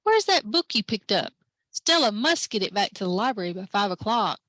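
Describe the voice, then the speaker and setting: Folksy "honey lamb" diner lady, woman voicing, quick chatty, dry sound. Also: mid-range voice, sing-song, fairly bright tone, dry recording. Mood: calling. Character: friendly diner waitress, folksy.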